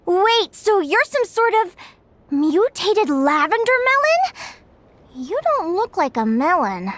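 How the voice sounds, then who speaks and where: Casting: tiny hovering game sidekick, very high and squeaky, bossy, swoops all over, unhurried, game music behind. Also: female voice, big pitch swings, heavily acted. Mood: indignant. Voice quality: squeaky, indignant. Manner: speaks of herself in third person. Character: small hovering female companion, bossy and excitable. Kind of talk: game cutscene voice acting.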